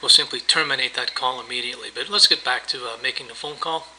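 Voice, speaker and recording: A man with a very bright, forceful voice at a middling pitch walks through a software demo. He explains things casually, one step at a time, as in an unscripted screencast. Some background noise sits behind the voice. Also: quick pace, low-quality recording. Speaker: software tutorial presenter. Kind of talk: screencast demo narration, semi-improvised walkthrough.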